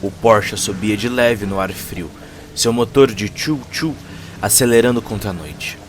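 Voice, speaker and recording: A man's voice: lowish voice, measured pace, slight background noise. Brazilian man narrating dramatic fiction. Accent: Brazilian Portuguese. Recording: music under the voice.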